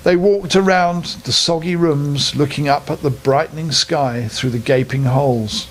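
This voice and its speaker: Older man reading a bedtime story, lightish pitch. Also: measured pace, slight background noise, low-quality recording.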